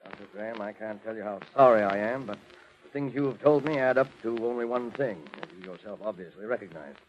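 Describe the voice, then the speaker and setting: An actor playing a formal police investigator in 1940s radio drama, speaking in a deliberate, measured way. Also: male voice, fairly low pitch, a little hiss or hum, close to an everyday voice. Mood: solemn, probing. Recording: dull vintage radio recording.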